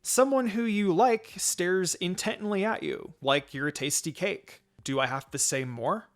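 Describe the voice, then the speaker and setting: A man's voice: sits high, a little slow, pitched above the performer's own voice. Man reading as a blunt young ninja woman. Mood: teasing. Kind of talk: amateur fan fiction character reading.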